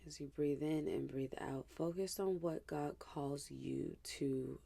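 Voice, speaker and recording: Woman, meditation guide, very slow pace, creaky low edge, hushed, gentle, soothing scripture-based narration. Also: steady tone. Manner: hushed, gentle, unhurried, with pauses.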